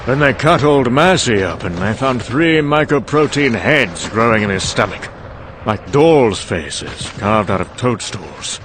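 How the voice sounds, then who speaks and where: A man's voice: mid-range voice, expressive, a little slow, fairly bright tone. A man playing a weary, sherry-soaked older scientist, rambling conversationally and hesitantly in a wry, confessional monologue. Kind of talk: dramatic monologue. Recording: clearly noisy background ambience.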